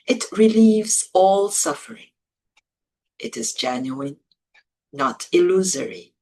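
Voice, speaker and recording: A woman reading scripture in a measured, deliberate way, phrase by phrase with pauses between them. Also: medium pitch, animated, a little mellow, low-quality recording. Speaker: Buddhist monastic, reader. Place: office.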